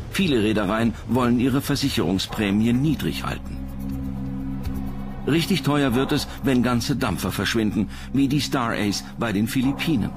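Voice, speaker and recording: A man narrates a documentary in scripted style. His pitch is medium, and music plays clearly behind his voice.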